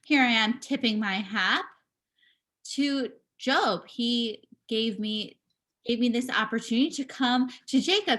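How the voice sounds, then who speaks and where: A woman giving a live spoken interpretation of a signing performer, speaking in halting bursts with pauses and restarts, over a video call. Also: a little high, fairly bright tone. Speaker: sign language voice interpreter.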